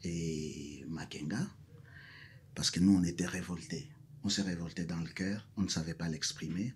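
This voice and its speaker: A man's voice: low voice, fairly even intonation, moderate pace, slight background noise. A male professor from South Kivu, a Mashi speaker, answering interview questions hesitantly and then with emphatic repetition. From South Kivu, DR Congo. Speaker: guest, professor.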